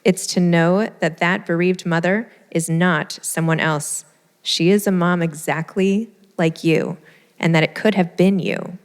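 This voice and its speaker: A woman moderating a live book event, speaking in an earnest, conversational way with a slightly low voice. Her words ring out with long reverb from a large event hall. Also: steady tone, unhurried. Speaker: host and moderator. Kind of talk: live panel event, reading an essay aloud.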